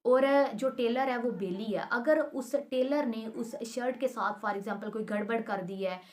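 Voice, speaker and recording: A woman teaches law in an explanatory lesson style. She works patiently through each point step by step, restating it so that it is clear. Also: fairly high pitch, a little slow, a little mellow. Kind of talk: explanatory lesson, reading statute text aloud then explaining with examples.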